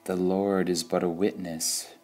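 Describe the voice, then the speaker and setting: A man reading spiritual sayings aloud in a calm, measured way, pausing between phrases. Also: sits low. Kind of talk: slow meditative reading of quotations.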